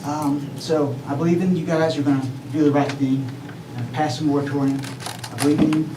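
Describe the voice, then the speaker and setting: A man's voice: a little high, steady tone. Earnest man speaking at a town meeting. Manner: urgent, earnest, halting with fillers. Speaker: public commenter. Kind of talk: public comment at a city meeting. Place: council meeting room. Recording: echoey hall, distant mic, noisy.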